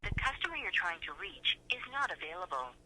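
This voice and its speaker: A woman's voice: on the low side, sing-song, fairly quick, pushed and bright. A woman reading a scripted automated telephone message as an operator, on a noisy phone recording with clicks.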